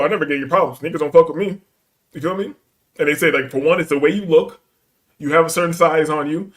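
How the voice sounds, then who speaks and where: A man hosting a reaction stream, talking bluntly in profane street slang. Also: fairly high pitch. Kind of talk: casual reaction commentary.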